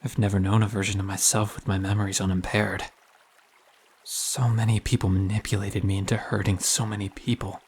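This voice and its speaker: A man playing a wounded, self-doubting young man in an audio drama, speaking hesitantly and confessionally in a breathy, husky voice. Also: fairly low pitch, level tone, fairly quick, darkish tone, slight background noise, close to an everyday voice. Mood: pained, guilty.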